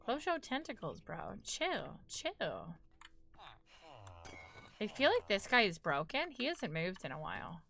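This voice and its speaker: A woman's voice: mid-range voice, wildly swooping pitch, measured pace, gravelly, pauses often, a bit bright, soft background music. A young woman with a New York accent, chatting casually with her live-stream audience while gaming, talkative and laughing often.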